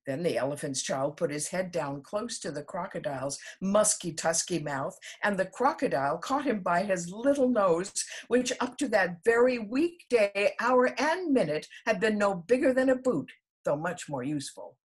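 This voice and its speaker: A woman reading a children's story aloud as storyteller, with theatrical, deliberate emphasis. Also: mid-range voice, animated, breathy edge.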